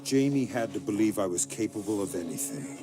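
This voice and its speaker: An actor playing a hardened, grieving middle-aged undercover spy who speaks in a terse, guarded way in a game cutscene. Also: male voice, lowish voice, animated, fairly quick, music in the background, natural voice. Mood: grim recounting. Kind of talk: game cutscene dialogue and narration.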